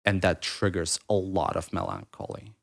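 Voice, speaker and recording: Deep-voiced man, slight foreign lilt in English. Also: big pitch swings, moderate pace, vocal fry. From Ukraine. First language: Russian. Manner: rambling, restarts mid-thought, many fillers. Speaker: podcast guest, writer. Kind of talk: reflective conversational interview.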